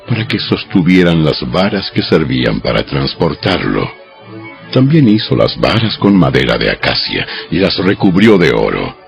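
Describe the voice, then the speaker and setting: A man reading scripture as a dramatized audio Bible narrator, with measured, deliberate phrasing. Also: extremely low pitch, creaky. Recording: dull lo-fi audio over music. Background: background music.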